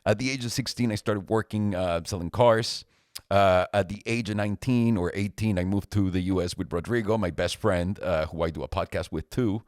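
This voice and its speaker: A man's voice: low voice, moderate pace, darkish tone, no room sound, studio quality. A man, co-hosting a podcast in a chatty, joking way. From Mexico. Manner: joking, talkative, laughs often. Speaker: podcast co-host. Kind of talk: casual chat.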